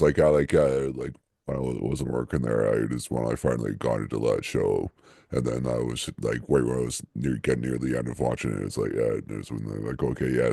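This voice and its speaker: A man's voice: rumbling low, vocal fry, mellow, breathy edge, no room sound, clean studio sound. A man co-hosting a podcast, rambling casually with lots of fillers and restarts. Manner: rambling, halting, many fillers and restarts, laughs often. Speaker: podcast co-host. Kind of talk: casual chat.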